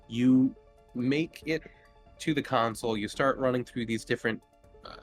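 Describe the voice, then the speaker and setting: A man narrating as game master of a tabletop roleplaying game, improvising descriptions in a halting way. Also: mid-range voice, fairly bright tone. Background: music.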